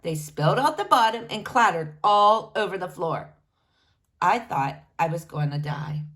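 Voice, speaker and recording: A woman reading a storybook aloud to children in an expressive, animated narrating style. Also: fairly low pitch, measured pace. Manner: addresses young listeners directly, animated.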